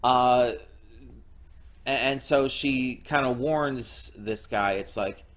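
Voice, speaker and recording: A young man casually recapping a show episode as a reaction host, halting with many fillers and restarts. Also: medium pitch, a bit bright.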